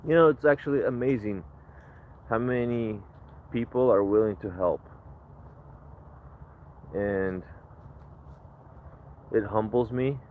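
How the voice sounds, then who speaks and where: A man's voice: on the low side, slow pace, long pauses, darkish tone. A man born in Ukraine, now a livestreamer, chatting outdoors in a reflective, rambling way with many restarts. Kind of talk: casual livestream chat. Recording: tinny phone-like mic with faint noise.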